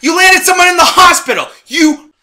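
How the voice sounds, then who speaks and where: A man playing an angry, menacing boss in an audio drama, forceful and accusing. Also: very high voice, lively intonation, normal speed, full character voice. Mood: furious. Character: angry, menacing boss named Cypher, scolding a subordinate.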